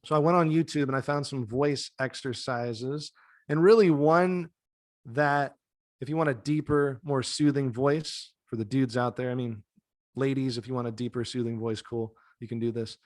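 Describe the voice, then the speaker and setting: A man's voice: mid-range voice, steady tone, normal speed, no echo, clean studio sound. A man in his thirties who teaches yoga, co-hosting a podcast over a video call from a dim home room, speaking in a laid-back, soothing and joking way. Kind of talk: casual podcast chat. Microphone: desk mic, video call.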